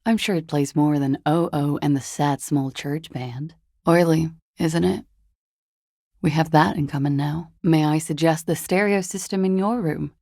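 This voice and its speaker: A guarded, seductive woman from a video game, weary of the world. Her low voice is full of fry and moves slowly, with wry hesitations. The tone is dark and mellow. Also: steady tone, no room sound, studio quality. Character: world-weary, guarded, seductive disco-dancer woman recounting a dead lover. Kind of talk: video game dialogue acting.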